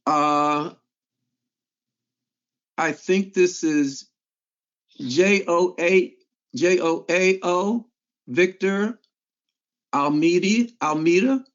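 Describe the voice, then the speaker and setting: A man with a somewhat high voice moderates an online class over a dull, lo-fi line. He speaks slowly and repeats his prompts in a measured way. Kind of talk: calling on participants. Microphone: video call. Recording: dull lo-fi call audio.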